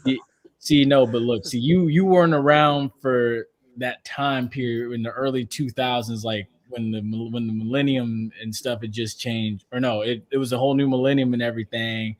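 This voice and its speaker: A man in his twenties hosting a casual sports podcast chat, telling stories in an animated way. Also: mid-range voice, moderate pace. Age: 20s. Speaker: podcast host.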